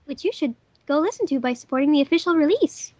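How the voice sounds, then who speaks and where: A woman's voice: high voice, lively intonation, fast, a little mellow, dry recording. A woman chatting cheerfully on a fan commentary track, often giggling. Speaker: fandub sound editor. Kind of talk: casual commentary chat.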